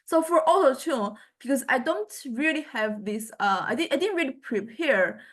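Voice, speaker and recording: A woman giving an informal lecture in a hesitant, halting way, often repeating and restarting her words. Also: high voice, expressive, a bit bright.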